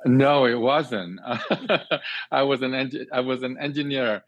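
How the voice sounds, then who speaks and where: A man's voice: mid-range voice, slow pace, dry recording. A man from Singapore answering interview questions conversationally, earnest and expansive, often repeating and reworking his phrases as he thinks. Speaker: guest, cook and cooking teacher. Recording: dry, slightly dull band-limited sound.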